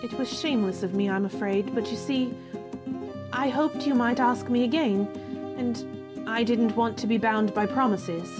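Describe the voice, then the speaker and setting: A woman playing an earnest, tender young gentlewoman in love, in a formal 1940s radio romance style. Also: fairly high pitch, moderate pace, close to an everyday voice. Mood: hesitant, earnest. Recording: violin music under the voice.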